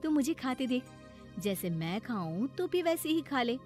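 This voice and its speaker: Woman playing a high-voiced bossy elder sister. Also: very animated, a little slow, a little mellow, faint music behind. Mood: helpful. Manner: bossy, exasperated, instructive. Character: sensible city-dwelling elder sister, scolding but caring. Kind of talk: animated moral story acting.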